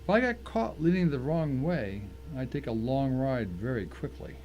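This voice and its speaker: A man's voice: medium pitch, lively intonation, moderate pace, a little mellow, muffled recording, lightly acted. Man acting a tense, determined narrator, noisy background. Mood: tense, suspenseful. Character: tense, determined man narrating his own deadly ordeal. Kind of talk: dramatic first-person story narration. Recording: clear background noise.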